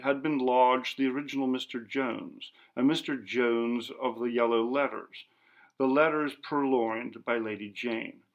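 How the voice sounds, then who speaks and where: A man narrating a literary ghost story as an audiobook, in a measured, dramatic manner. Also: mid-range voice, creaky.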